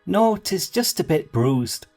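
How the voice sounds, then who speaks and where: A man voicing a tiny, touchy man in a storybook, speaking in an indignant, quick-to-offend way. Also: high voice, very animated, darkish tone, faint music behind, voice pushed up, heavily acted. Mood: cranky. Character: tiny touchy Irish man caught in a trap. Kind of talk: storybook character voice.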